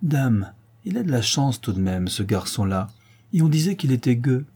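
A man's voice: medium pitch, sing-song, moderate pace, warm tone, husky. A man voicing a plain-spoken old river fisherman in a homely, chatty way.

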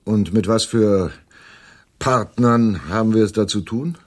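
A man's voice: fairly low pitch, a little slow. An actor playing an anxious, wary man being drawn into shady dealings, in a German radio drama. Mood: cautious.

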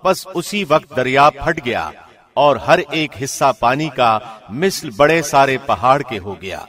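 A man with a mid-range voice reads a translation of scripture. His delivery is formal and measured, with the careful enunciation of a radio presenter working from a script. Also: mid-range voice, animated, fairly bright tone.

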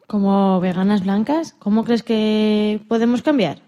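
A woman's voice: mid-range voice, a little slow, warm tone. A woman interviewing a guest on a radio programme.

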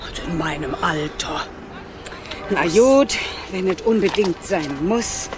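A woman's voice: lowish voice, measured pace, over music, natural voice. An actress playing a grumbling, irreverent, good-hearted woman with a blunt colloquial way of talking in a radio play.

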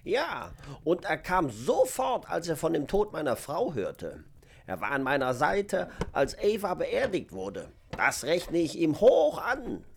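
Guy doing a jovial old gardener in an audio play, creaky and very animated, bit of noise behind. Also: a little high, takes it slowly, exaggerated voice. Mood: reflective, grateful. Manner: avuncular, gently teasing. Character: kindly, jovial old male Polish immigrant gardener. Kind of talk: German radio-play acting.

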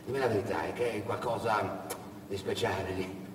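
A man's voice: lowish voice, steady tone, normal speed, echoey room, big-room sound, recorded from a distance, background noise, pitched below the performer's own voice, lightly acted. A man playing a fat, leering official in a stage monologue, teasing his victim with mocking insistence. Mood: sly, leering.

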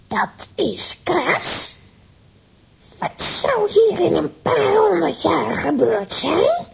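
A woman's voice: very high-pitched, very animated, drawn-out words, creaky, exaggerated voice. A woman playing a small, scheming, defiant witch in a children's audio drama, exclaiming theatrically. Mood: alarmed, sly.

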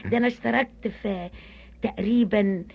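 A middle-aged actress speaks in a colloquial Egyptian accent, her talk quick and full of joking asides. Her voice sits in the middle of the range, and the old radio recording sounds dull and muffled. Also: mid-range voice, gravelly. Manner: chatty, playful, laughs often. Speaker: stage and film actress, radio guest. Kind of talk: lively radio interview chat.

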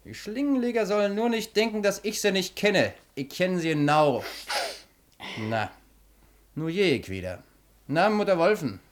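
A man's voice: sits high, very animated, moderate pace. An actor playing a self-important, sly male forestry assistant in a German radio play, pompous and knowing. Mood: threatening.

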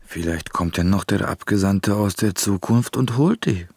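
A man's voice: on the low side, normal speed, dry recording. An actor in a radio play playing a gloomy, fatalistic man who speaks in riddling remarks. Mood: wry. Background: loud music.

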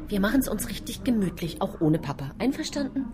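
A woman's voice: medium pitch, sing-song, fairly quick, a crowd in the background, close to an everyday voice. An actress playing an affectionate mother talking to her child in naturalistic radio drama dialogue. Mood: coaxing.

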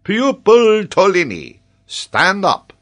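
A pompous, middle-aged geographer played by a male narrator in a storybook tale. The voice is pushed high and swoops widely, with emphatic, exclamatory, self-important professorial delivery. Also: wildly swooping pitch, slow, fairly bright tone, exaggerated voice. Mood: solemn, pompous.

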